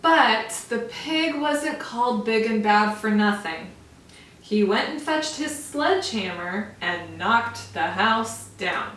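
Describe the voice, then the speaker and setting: A woman's voice: on the high side, animated, slow, a bit bright, slight background noise. Teacher woman reading a storybook. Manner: explains words to children. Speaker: first grade teacher. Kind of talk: reading a picture book aloud. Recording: echoey room, mic far off.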